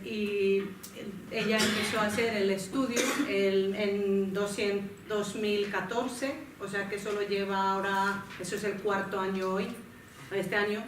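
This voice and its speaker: A woman from Finland with a Finnish accent, moderating a panel in her second language, pausing and correcting herself as she searches for words. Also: mid-range voice. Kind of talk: panel talk to an audience. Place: lecture room. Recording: echoey room, mic at a distance, noisy.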